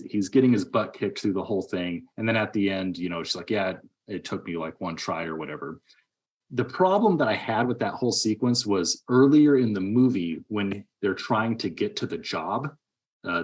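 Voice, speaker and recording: Male, lower register, casual review-show co-host who acts out quotes while storytelling. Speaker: podcast co-host. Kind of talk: casual movie review chat.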